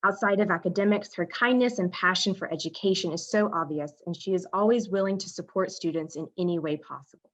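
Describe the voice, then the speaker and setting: A woman's voice: lowish voice, fairly quick, hardly any pauses, a bit bright. A woman presenting a ceremony, steadily reading student introductions over a video call. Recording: dull video call audio.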